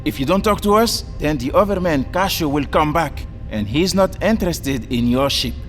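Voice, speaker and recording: A scrappy older crewman in an audio drama. The voice is high for a man and very animated in pitch. He needles and taunts, with music behind him. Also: moderate pace. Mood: wary, insistent. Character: older male sailor crewman, scrappy, sly, foul-mouthed.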